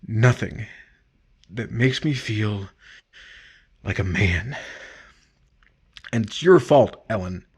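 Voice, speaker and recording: A man playing a bitter, resentful husband in a radio drama, accusing his wife in a deliberate way with pointed pauses. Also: lowish voice, lively intonation, a little mellow, slightly rough, exaggerated voice. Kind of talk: old-time radio drama acting.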